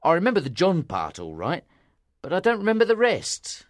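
An actor playing a rough, suspicious working man who questions in an emphatic, probing way in an audiobook drama. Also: high-pitched, huge pitch swings, moderate pace, dry recording, clean studio sound.

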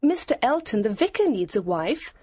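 Woman acting a lively, confident young lady. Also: fairly high pitch, normal speed, husky, no echo, studio quality. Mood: confident, proud. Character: confident, playful, self-satisfied young lady. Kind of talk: audiobook character acting.

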